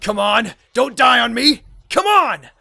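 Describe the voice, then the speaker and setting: A man's voice: high-pitched, lively intonation, brisk. Man acting strained, anxious marine amid noise. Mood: desperate. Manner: strained, urgent. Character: anxious, defiant male marine pinned down in battle. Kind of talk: anime fan dub war drama.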